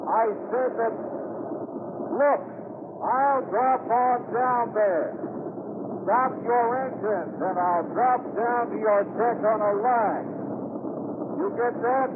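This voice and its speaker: Through loud noise on a tinny old radio recording, a male investigator calling out. The voice is pushed very high and the words come slowly and deliberately, in 1950s adventure drama style. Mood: urgent calling. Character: hardboiled male investigator shouting down from a helicopter.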